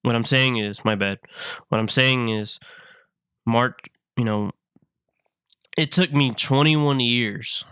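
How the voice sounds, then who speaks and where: A man in his twenties, sick, talking in a halting, earnest way, often repeating himself in a personal vlog. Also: mid-range voice, fairly bright tone, smooth, no room sound, studio quality. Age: 20s. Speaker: vlogger.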